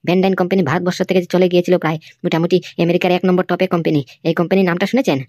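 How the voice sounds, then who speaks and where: Woman acting loyal cartoon employee, indignant, lowish pitch, extremely fast, confrontational, dry sound. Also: flat delivery, mellow, no echo, close to an everyday voice. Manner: insistent, confrontational, repeated tag questions. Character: indignant, fiercely loyal employee defending the boss. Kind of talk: amateur cartoon dialogue.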